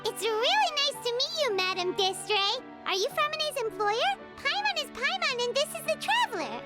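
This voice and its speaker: A woman voicing a tiny, cheerful childlike fairy companion in a video game, squeaky, perky and chatty. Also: very animated, moderate pace. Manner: perky, speaks of self in third person. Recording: loud game music under the voice.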